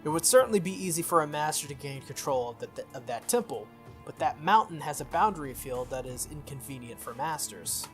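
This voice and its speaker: A man voicing a formal, earnest young female knight from a visual novel, in stiff, measured phrasing. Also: fairly high pitch, animated, a bit bright, soft background music. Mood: firm, explanatory. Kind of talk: visual novel character read aloud.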